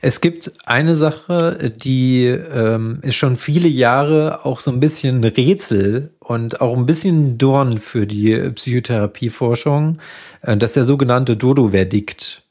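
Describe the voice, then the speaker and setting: Male psychotherapist guest, loose chatty explainer, mid pitch. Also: a little slow. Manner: relaxed, explains with fillers and tag questions. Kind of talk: casual podcast conversation.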